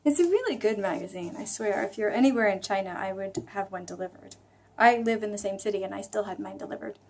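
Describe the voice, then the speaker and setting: A woman's voice: mid-range voice, quick pace, slight background noise. A woman hosting a casual vlog, chatting reflectively in a hesitant, musing way as she thinks aloud. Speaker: vlog host. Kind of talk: casual reflective chat about reading.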